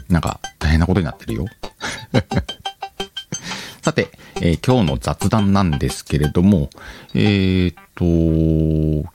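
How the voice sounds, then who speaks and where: A man's voice: very deep voice, a little mellow. A man hosting a podcast, chatting casually alone in an informal, playful way as he thinks aloud. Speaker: podcast host. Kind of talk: casual solo chat. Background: music.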